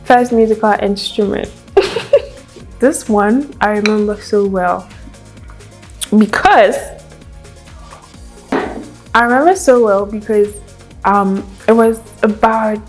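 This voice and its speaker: A young woman, a native Hausa speaker, chatting casually to camera in a vlog, pausing to hum and think aloud while eating a meal. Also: medium pitch, soft background music. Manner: chatty, thinks aloud with hums and trailing asides. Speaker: vlogger. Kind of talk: casual chat.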